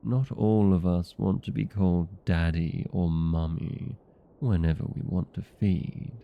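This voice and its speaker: A man playing a chatty, petty, century-old vampire in a comedic audio roleplay, talking in a wry, conversational, mildly complaining way with drawn-out words. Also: low voice, fairly even intonation, vocal fry, dull audio. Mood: mildly annoyed. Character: chatty, petty, 127-year-old male vampire being interviewed.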